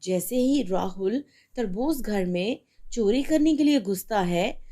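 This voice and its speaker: A woman's voice: mid-range voice, animated, slow pace, mellow. A woman narrating a scripted children's fairy tale as the storyteller.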